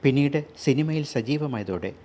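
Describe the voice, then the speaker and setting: An older man speaks in a measured, reflective voice, pacing his memories like a seasoned broadcaster. His pitch sits in the middle range. A faint ambient hiss lies underneath. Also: lively intonation, dark tone. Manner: measured, nostalgic storytelling. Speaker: retired radio broadcaster. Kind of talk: reflective memoir talk.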